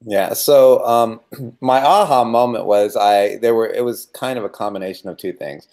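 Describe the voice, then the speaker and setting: A man's voice: medium pitch, normal speed, darkish tone. A man around fifty, a podcast guest, candidly telling his own story with self-deprecating humor and emphatic repetitions.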